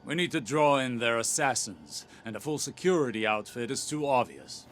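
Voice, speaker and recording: An actor playing an aloof male mentor who speaks in a measured, commanding way. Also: mid-range voice, expressive, fairly bright tone, slight background noise, lightly acted. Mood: stern. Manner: measured, cool, stern. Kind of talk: video game cutscene dialogue. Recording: game score behind.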